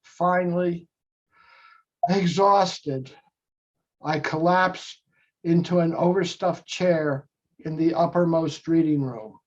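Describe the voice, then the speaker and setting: A man leading a guided meditation, speaking in measured short phrases with long pauses between them. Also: fairly high pitch. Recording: dull lo-fi audio.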